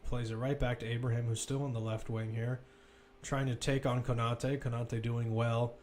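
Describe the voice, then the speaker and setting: Male football commentator, mid-pitched, live running play-by-play with off-the-cuff asides and corrections. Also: flat delivery, normal speed, a little mellow, a little hiss or hum.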